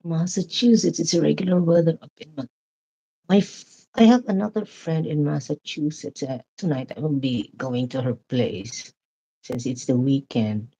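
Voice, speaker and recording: Loud, talkative woman vlogger from the Philippines, dark husky voice, live-stream chat. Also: low voice, normal speed, dull audio. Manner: loud, chatty, laughs often. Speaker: vlogger chatting with live viewers.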